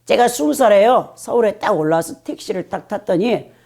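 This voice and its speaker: A woman's voice: medium pitch, expressive, brisk, creaky, warm tone. A middle-aged woman pastor with a Jeolla accent, delivering an emphatic sermon and often turning to address the congregation directly. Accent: Jeolla Korean. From Jeolla, South Korea.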